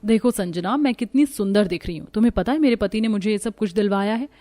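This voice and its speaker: A woman's voice: medium pitch, quick pace, mellow, close to an everyday voice. A woman voicing a haughty, boastful rich young wife in a moral cartoon story. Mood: scornful, boastful. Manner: scornful, boastful. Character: haughty rich young wife who taunts her poor friend.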